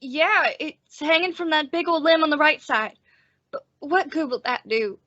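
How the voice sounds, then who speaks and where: Lady doing scared earnest western townsman, high voice, old radio play, dull dry recording. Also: lively intonation, moderate pace, sharp tone, no room sound. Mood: panicked. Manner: earnest, anxious. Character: Everett Barnes, timid, frightened male western townsman.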